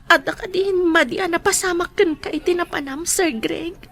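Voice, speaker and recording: A woman's voice: extremely high pitch, normal speed, a bit bright. An actress playing an emotional, longing mother in an Ilocano radio drama. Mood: guarded, tearful.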